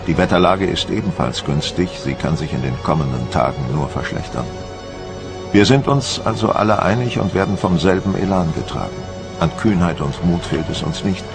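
A proud, resolute French admiral of the Napoleonic navy, declaims in a low, solemn voice. His formal monologue belongs to a historical radio play, with music clearly heard underneath. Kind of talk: dramatised first-person documentary monologue in German.

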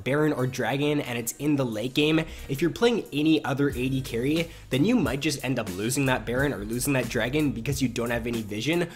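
A man with a stuffy nose from a cold, hosting a casual game strategy video and explaining his picks in a relaxed way. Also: mid-range voice. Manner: relaxed, explanatory, repeats phrases. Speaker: gaming video host.

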